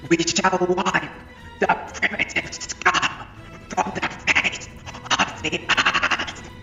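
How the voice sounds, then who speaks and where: A surly reptilian commander in a sci-fi audio drama. The creature speaks slowly and harshly, high and scornful, with menace in each clipped phrase. The sound is distant and echoing, over music. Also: male voice, flat delivery, gravelly, bright, forceful tone, full character voice. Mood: menacing. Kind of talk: fan audio drama acting.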